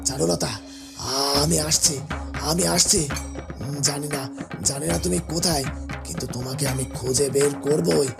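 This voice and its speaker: A man voicing a weary, remorseful prisoner in a cartoon fairy tale, muttering dramatically and haltingly. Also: fairly high pitch, steady tone, vocal fry, over loud music. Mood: determined, remorseful. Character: remorseful male prisoner, weary, vowing to escape.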